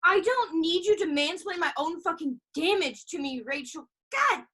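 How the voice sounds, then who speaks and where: High-voiced, hurt younger sister, acted by a woman. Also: expressive, sharp tone, exaggerated voice. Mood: angry, exasperated. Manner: halting, emotional, profane. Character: hurt, insecure younger sister fresh out of rehab. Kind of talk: stage play acting. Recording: live stage recording.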